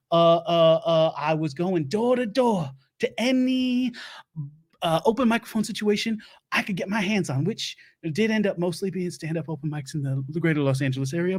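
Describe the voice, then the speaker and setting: Male comic doing a high-voiced, put-on Louisiana doomsday-prep host, rambly and self-important. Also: a little mellow. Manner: rambling, stumbling, laughs at own jokes. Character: bumbling self-proclaimed Southern doomsday-prep lecturer man. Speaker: comedy show host. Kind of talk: improvised comic monologue. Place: home studio.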